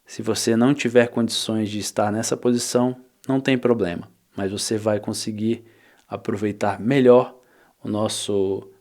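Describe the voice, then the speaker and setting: A Brazilian man narrating an ASMR guided visualization, calm and instructing, with long pauses between phrases. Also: lowish voice. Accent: Brazilian Portuguese. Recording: long reverberant hall-like echo.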